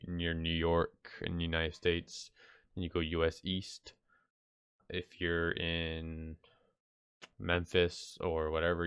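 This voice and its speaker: Deep-voiced, stuffed-up man giving a casual tutorial. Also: smooth, dry recording, studio quality. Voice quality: slightly nasal from congestion. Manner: hesitant, rambling, offhand. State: sick with stuffy nose. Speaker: video tutorial host. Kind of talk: casual unscripted software tutorial. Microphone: close mic, screen-recording voice-over.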